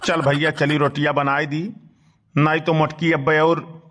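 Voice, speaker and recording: A man's voice: on the high side, little pitch movement, moderate pace, a bit bright, natural voice. A man voicing a henpecked, resigned older village uncle in a cartoon, with an Awadhi accent. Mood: grumbling. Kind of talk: cartoon comedy dialogue.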